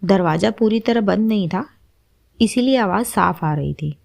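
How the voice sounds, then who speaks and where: A woman's voice: mid-range voice, expressive, normal speed, creaky, a little mellow. A woman storyteller reading a scripted story as its narrator.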